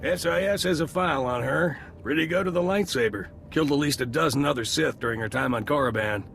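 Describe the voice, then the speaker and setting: An actor playing a gruff, businesslike male military intelligence agent in a video game. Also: fairly high pitch, fast talker, a bit bright, slight background noise. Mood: dry, businesslike.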